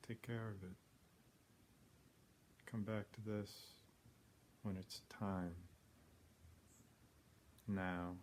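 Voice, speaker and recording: Male meditation guide, deep-pitched and very slow, plain-spoken, pausing often between phrases. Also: fairly even intonation. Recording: clearly noisy background ambience.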